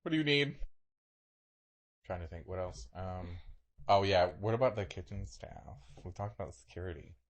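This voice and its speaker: A man co-hosting a podcast in casual chat, playful and joking, with an expressive way of talking. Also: sits low, normal speed, pauses often. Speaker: podcast co-host.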